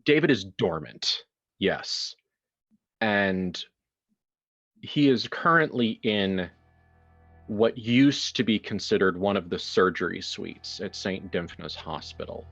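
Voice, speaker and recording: Low-voiced man hosting, dull lo-fi sound. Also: lively intonation, slow pace, fairly bright tone, faint music behind. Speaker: game master and host. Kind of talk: casual improvised introduction and horror game narration.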